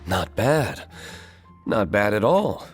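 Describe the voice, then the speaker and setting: A man's voice: on the low side, very animated, slow. A man voicing a reserved young male tavern owner, composed and curt. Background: faint background music.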